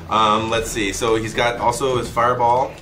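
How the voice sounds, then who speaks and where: A man's voice: medium pitch, little pitch movement, moderate pace, heavy background noise. A man giving casual, unscripted fighting-game commentary, explaining moves in heavy gaming jargon. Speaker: game demonstrator on a stream. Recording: distant mic under loud game music.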